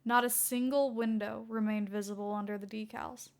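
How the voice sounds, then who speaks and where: A woman's voice: a little high, fairly even intonation, moderate pace. A woman reading a scripted story aloud as a fan fiction narrator.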